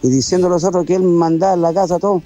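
A man's voice: high-pitched, fairly even intonation, rapid-fire, warm tone, soft background music. A Chilean man calling a radio show, telling a slangy workplace story. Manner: chatty, slangy anecdote-telling. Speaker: caller. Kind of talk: storytelling call-in.

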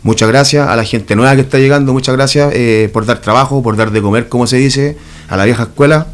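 A man's voice: mid-range voice, steady tone, a little fast. A Chilean man in his thirties, a hairdresser and urban artist, chatting as a guest in a radio studio interview, rambling and grateful. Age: 30s.

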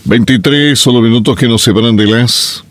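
A man's voice: mid-range voice, normal speed, dry recording. A man with a Chilean accent presenting the local morning news on radio, reading items and adding folksy, chatty asides.

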